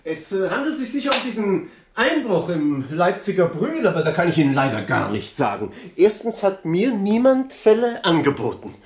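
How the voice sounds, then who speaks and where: An actor playing a politely talkative furrier in a German radio crime drama, hesitant, defensive and over-explaining. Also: male voice, high voice, very animated, normal speed, few pauses. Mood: polite, guarded. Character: evasive, flustered male furrier, politely talkative shopkeeper.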